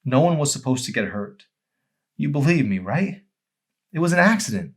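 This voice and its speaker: A man voicing a tormented, withdrawn young man in an audiobook, halting and anguished with guilt. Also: medium pitch, very animated, slightly rough, voice pushed up.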